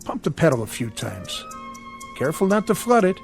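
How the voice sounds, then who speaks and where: An actor playing an easygoing, helpful small-town male mechanic in a radio drama, with a folksy, casual, unhurried way of talking. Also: fairly high pitch, sing-song, soft background music.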